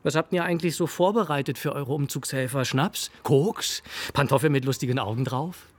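A man's voice: medium pitch, a little fast, close to an everyday voice. A man playing a sardonic, joking best friend in a radio drama, casual with theatrical mockery. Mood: mocking. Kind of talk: naturalistic radio drama acting.

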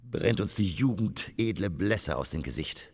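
Radio play: self-absorbed stage tragedian, low gravelly voice, declamatory, rambling, melancholy. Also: male voice, animated, a little slow, exaggerated voice. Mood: wistful, declaiming. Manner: declamatory, rambling, dramatic swings from whisper to outburst. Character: theatrical, self-absorbed, melancholy male stage actor living for theatre. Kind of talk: German radio drama monologue.

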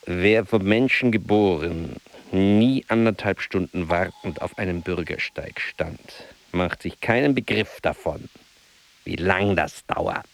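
An actor playing a brooding, self-mocking solitary writer in a musing radio drama monologue. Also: male voice, low voice, a little slow, gravelly. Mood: dry, grave.

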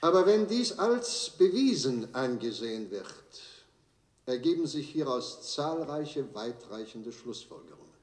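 Troubled judge: formal, solemn, strongly modulated delivery, echoing courtroom, radio drama. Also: male voice, medium pitch, big pitch swings, a little mellow. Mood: grave. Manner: formal, grave, deliberate phrasing with emphatic swells. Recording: echoey courtroom broadcast sound.

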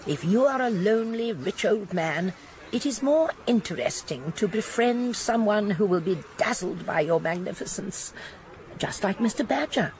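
An actress playing a shrewd, genteel elderly English spinster sleuth in a British radio drama, speaking precisely. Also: female voice, mid-range voice, expressive, normal speed, darkish tone, a little hiss or hum, close to an everyday voice, poor audio. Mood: shrewd, gossipy. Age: older.

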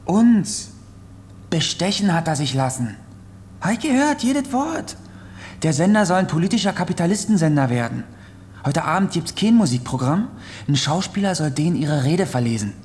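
An actor playing an indignant, rebellious young worker in a radio drama, agitated and conspiratorial. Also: male voice, a little high, big pitch swings, moderate pace, darkish tone. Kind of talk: German radio drama acting. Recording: echoey reverberant space, faint noise.

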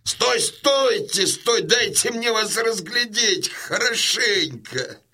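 A man's voice: high-pitched, animated, slow, pushed and bright, slightly rough, heavily acted. An actor playing a boisterous old Cossack father in a radio play, teasing and combative with jovial exclamations.

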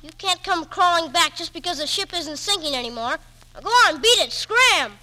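A woman's voice: very high voice, lively intonation, moderate pace. A woman playing a brash, cocky young boy in 1940s radio drama style, with slangy, blunt speech. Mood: defiant, bossy. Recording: noisy 1940s radio broadcast recording.

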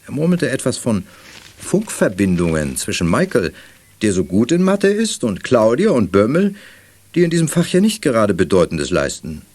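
A man playing a calm, mildly stern middle-aged father in a children's radio play. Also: fairly low pitch, moderate pace, slightly rough, exaggerated voice. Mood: mildly reproachful.

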